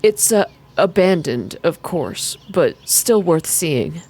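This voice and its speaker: A woman voicing a shy, wistful man telling stories in a quiet, hesitant, calming manner, in an ASMR game reading. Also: lowish voice, slow, slightly rough, exaggerated voice.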